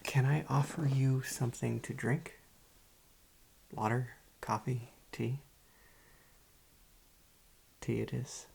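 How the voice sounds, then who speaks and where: A man as a slow, gravelly, soft-spoken psychiatrist. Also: mid-range voice, steady tone, heavily acted. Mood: calm, courteous. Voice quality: creaky, husky. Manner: measured, polite, clinical, soft-spoken. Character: calm, formal, probing male psychiatrist interviewing a young patient. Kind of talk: ASMR roleplay, soft-spoken scripted drama.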